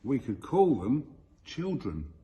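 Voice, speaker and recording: A man voicing an eager, persuasive female spirit person in a storybook, with measured, coaxing delivery. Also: medium pitch, wildly swooping pitch, mellow.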